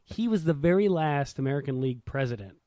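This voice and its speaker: A man's voice: a little high, expressive, fast talker, mellow, no room sound, clean studio sound. A man co-hosting a podcast, telling things informally and colloquially in casual sports banter. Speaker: podcast co-host.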